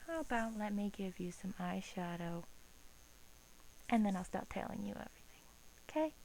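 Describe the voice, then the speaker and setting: A woman voicing a smug, menacing female vampire in a soft-spoken ASMR roleplay, teasing and slipping into whispers. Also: medium pitch, lively intonation, moderate pace, vocal fry, slight background noise, poor audio. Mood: coy, secretive.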